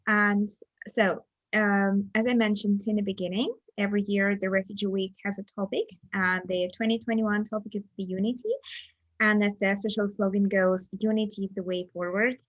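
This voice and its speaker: A woman's voice: mid-range voice, no echo, lo-fi sound. A woman hosting a webinar over a video call, asking guests questions in a friendly way with many fillers. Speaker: webinar host.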